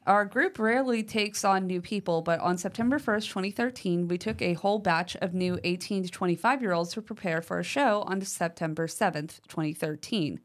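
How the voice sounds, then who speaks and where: A woman co-hosting a paranormal podcast, chatting casually and reading a listener's letter aloud with lively emphasis, using fillers like "like" and "um". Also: fairly low pitch, normal speed, few pauses. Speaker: podcast co-host.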